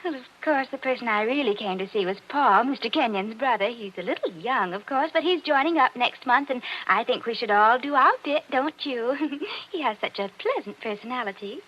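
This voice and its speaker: A giggly chatterbox. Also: female voice, on the high side, lively intonation, brisk. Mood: gushing. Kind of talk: 1940s radio acting. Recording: dull old radio recording with faint hiss.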